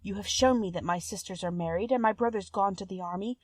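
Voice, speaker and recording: Woman playing a sincere, soft-hearted storybook maiden. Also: medium pitch, quick pace, dry recording, studio quality, natural voice. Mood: tearful, pleading. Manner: earnest, polite old-fashioned speech.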